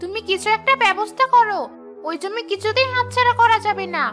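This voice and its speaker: A woman voicing a greedy, scheming, nagging village wife in an animated moral story. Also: very high voice, brisk, soft background music. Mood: greedy, urging.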